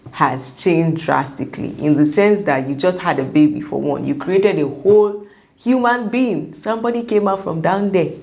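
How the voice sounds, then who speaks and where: A young woman with a Nigerian accent and a slightly croaky voice, giving chatty, emphatic advice in a vlog. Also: low-pitched, a little mellow. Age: young adult. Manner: emphatic, repeats words for stress. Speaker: vlogger sharing first-time mom advice.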